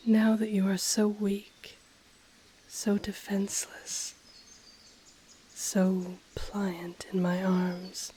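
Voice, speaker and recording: A woman voicing an ancient female dragon in an intimate audio roleplay, breathy and often whispering, haughty yet tender, with teasing, seductive, formally worded speech. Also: mid-range voice, mellow, a little hiss or hum, natural voice. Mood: amused, predatory. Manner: slow, teasing, formal archaic phrasing.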